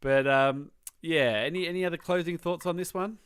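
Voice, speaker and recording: A man's voice: on the high side, moderate pace, clear, resonant tone, dry recording. Dry-sounding male podcast co-host. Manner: relaxed, joking, trailing restarts. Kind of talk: casual chat.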